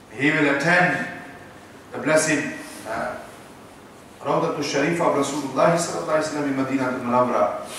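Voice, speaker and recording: Imam lecturing in an echoey room. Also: male voice, mid-range voice, a bit bright, far from the mic, a little hiss or hum. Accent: British English. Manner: teasing the audience with asides. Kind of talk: islamic lecture.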